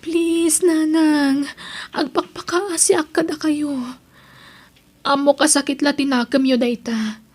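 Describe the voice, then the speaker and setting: A woman's voice: high-pitched, steady tone, slow, pauses often, darkish tone. A woman with an Ilocano accent playing an earnest, pleading teenage daughter who longs for acceptance in a radio drama.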